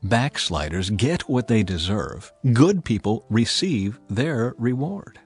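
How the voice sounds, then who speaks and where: A man reading scripture as a dramatized audiobook narrator, with a solemn, measured and expressive delivery. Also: fairly low pitch, slightly rough, soft background music.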